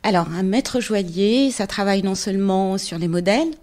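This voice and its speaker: Unhurried middle-aged woman from Morocco. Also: medium pitch, darkish tone. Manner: measured and unhurried, pausing often to find words. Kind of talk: casual interview, explaining her trade.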